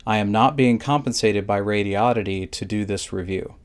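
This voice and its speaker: A man's voice: fairly low pitch, moderate pace, smooth. A man narrating a scripted product review in a plain, methodical, instructional way.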